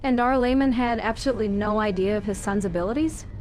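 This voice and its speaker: A woman's voice: mid-range voice, fast, soft background music, lightly acted. A woman voicing a composed young female warrior hero in a fan-voiced video game. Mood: calm. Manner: calm, direct, questioning.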